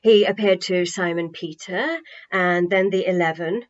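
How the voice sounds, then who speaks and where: A woman voicing an excitable, devout woman disciple in a storybook, with a breathless, halting, gushing delivery. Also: on the low side, lightly acted. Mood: earnest, excited. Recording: slightly dull home recording.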